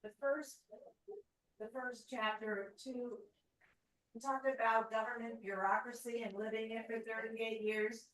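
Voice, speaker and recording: A woman's voice: on the high side, flat delivery, measured pace, fairly bright tone, distant mic. Woman commenting from across an echoey room. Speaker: audience member.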